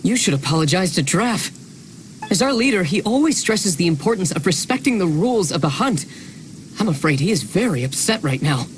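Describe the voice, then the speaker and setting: A stern village hunter in a video game. The delivery is firm and reproachful, with animated pitch. There is slight background noise. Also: female voice, a little fast. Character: stern, scolding rule-minded village hunter.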